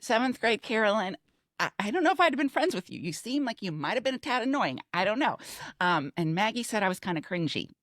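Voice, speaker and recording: A woman's voice: mid-range voice, sing-song, fast talker, a bit bright, dry recording, clean studio sound. A middle-aged American woman co-hosting a podcast, telling stories in casual conversation. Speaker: podcast co-host. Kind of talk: casual chat, storytelling.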